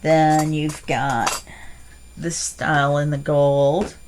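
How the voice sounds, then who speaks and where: A woman's voice: sits low, little pitch movement, drawn-out words, background noise. A middle-aged woman hosting a casual crafting unboxing, chatty and rambling with fillers, her voice stuffy from allergies. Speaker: crafting channel host.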